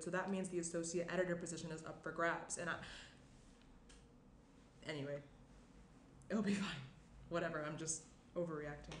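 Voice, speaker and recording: A woman's voice: lowish voice, natural voice. A young woman playing an anxious, guilty woman who hesitates and trails off in a screenplay table read. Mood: anxious, downplaying. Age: young adult. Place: small room at a table. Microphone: shared tabletop microphone. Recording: roomy indoor sound, clear background noise.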